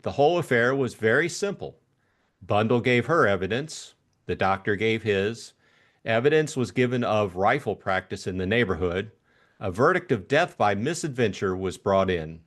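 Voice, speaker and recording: A man narrating a literary audiobook in an expressive storytelling style. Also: fairly low pitch.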